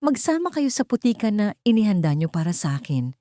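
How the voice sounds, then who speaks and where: A woman's voice: medium pitch, sing-song, fairly quick, mellow, no echo, studio quality, pitched above the performer's own voice, lightly acted. A woman voicing a cold, scornful young heiress. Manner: firm, scornful.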